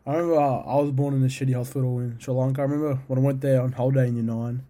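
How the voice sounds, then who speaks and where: A man's voice: medium pitch, fairly even intonation, racing, warm tone. A young Australian man hosting a casual podcast with friends, rambling and swearing with lots of fillers. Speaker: podcast host. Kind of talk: casual chat among friends.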